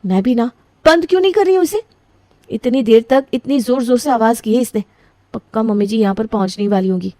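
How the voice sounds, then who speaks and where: A woman plays a harried young daughter-in-law in a family storybook cartoon. Her voice is high and soft-toned, pleading and grumbling by turns. The recording is dry and close. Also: fairly quick, dry recording, close to an everyday voice. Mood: flustered. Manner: flustered, pleading, whiny complaining. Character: young newlywed daughter-in-law, flustered and put-upon. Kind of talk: scripted family story voicing.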